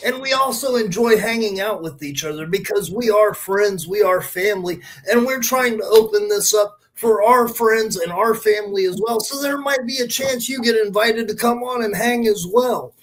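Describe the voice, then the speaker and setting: A man's voice: high voice, moderate pace, hardly any pauses, low-quality recording. A man hosting a casual live podcast, with a showy, announcer-like way of talking. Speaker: podcast host.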